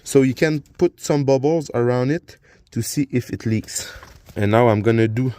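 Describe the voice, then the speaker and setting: A man's voice: mid-range voice, mellow. French-accented man explaining a car repair. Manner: halting explanations with frequent uh and repeated words. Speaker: DIY car repair vlogger. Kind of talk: casual hands-on repair walkthrough. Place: garage and under a car. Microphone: phone camera while working.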